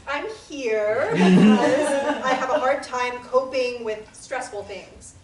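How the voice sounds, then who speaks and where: A woman's voice: a little high, takes it slowly, over a noisy crowd. A woman reading a nervous, friendly newcomer. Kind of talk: table read. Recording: roomy audio from far off, clear background noise.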